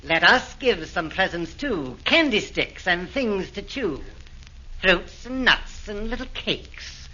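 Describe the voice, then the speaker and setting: A gloating Caligula savors every word at a very slow pace, his bright voice rising and falling widely. The sound is dull and lo-fi, like old radio. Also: sits high, very animated, full character voice. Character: Caligula, gloating former emperor demon. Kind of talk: 1940s radio acting.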